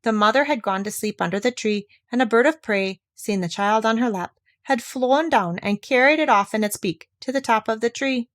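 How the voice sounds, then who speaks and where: A woman's voice: a little high, moderate pace, few pauses, a bit bright, no room sound. Woman storyteller, close dry sound. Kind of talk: scripted fairy-tale narration read aloud.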